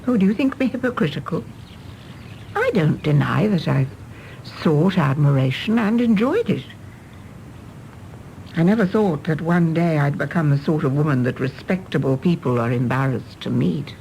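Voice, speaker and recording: A woman's voice: low-pitched, expressive, normal speed, creaky, warm tone, a little hiss or hum, rough recording. An actress playing a poised, candid British society woman with a tarnished reputation in a radio play. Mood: candid, rueful. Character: sympathetic society woman with a scandalous past, candid.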